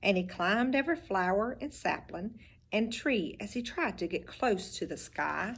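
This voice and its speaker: A teacher reading a picture book aloud, with expressive storybook delivery and little asides about the pictures. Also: female voice, mid-range voice, moderate pace, breathy edge.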